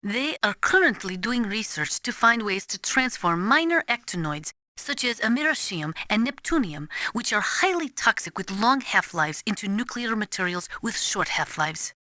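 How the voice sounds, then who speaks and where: A woman's voice: medium pitch, animated, moderate pace, few pauses, bright, forceful tone, no echo, studio quality. A woman voicing a serious, knowledgeable nuclear weapons analyst in a video game, speaking formally and precisely in scripted, lecturing explanations. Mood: serious, explanatory. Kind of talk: scripted expository game dialogue.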